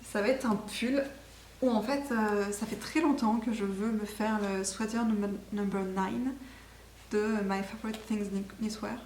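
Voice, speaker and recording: A woman's voice: medium pitch, fairly even intonation, unhurried, a little mellow. A woman chats casually and enthusiastically as host of a knitting podcast, rambling and correcting herself as she goes. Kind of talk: casual video podcast chat. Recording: echoey room with faint background noise.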